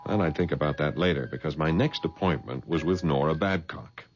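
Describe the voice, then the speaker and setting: An actor playing a smooth-talking private detective in a radio drama, easygoing and probing. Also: male voice, a bass voice, a little fast. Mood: narrating. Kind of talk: radio drama dialogue and first-person narration. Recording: dull vintage radio recording.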